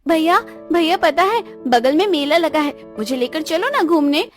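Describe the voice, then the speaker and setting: Woman voicing a high-pitched, whiny young sister. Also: animated, moderate pace, over music. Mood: pleading. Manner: whiny, dramatic. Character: spoiled, envious young sister craving her brother's affection.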